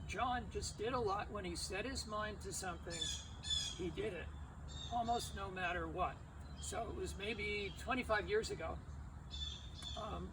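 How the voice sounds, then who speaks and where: A person giving an informal memorial tribute, telling anecdotes with emphatic repeated phrases. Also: mid-range voice. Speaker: speaker at a memorial service. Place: outdoors at a cemetery memorial gathering. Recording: distant outdoor recording with background noise.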